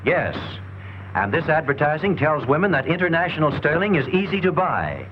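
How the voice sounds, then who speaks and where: Male narrator for a 1940s sales training film: emphatic, lively intonation, dull lo-fi period sound. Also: fairly high pitch, normal speed, a little hiss or hum. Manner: emphatic, rhetorical, salesmanlike delivery.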